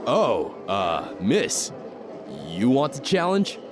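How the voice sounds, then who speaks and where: A man's voice: mid-range voice, wildly swooping pitch, slow pace, noisy background. A man playing a fight bookie and ring announcer in an audio drama. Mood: hesitant, businesslike.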